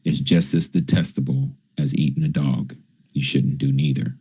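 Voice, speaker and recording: A man's voice: rumbling low, little pitch movement, normal speed, dark tone, breathy edge, no room sound. A man teaching scripture on a livestream, answering viewers' questions in a casual way and often checking in with "okay?". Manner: explains with frequent "okay?" checks.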